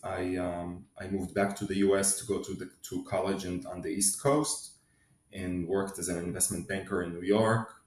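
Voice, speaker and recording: A man with a very low, deep voice speaks in a measured, thoughtful way, pausing now and then on fillers as he answers questions. He grew up in Israel and sounds middle-aged. His voice carries a noticeable room echo. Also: darkish tone. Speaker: guest, company finance executive. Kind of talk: conversational interview answers. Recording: roomy echo.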